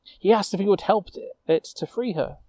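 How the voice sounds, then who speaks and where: A man voicing an excitable, idealistic young female witch knight in a storytelling, eager and persuasive. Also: high-pitched, moderate pace, voice pushed up. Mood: excited.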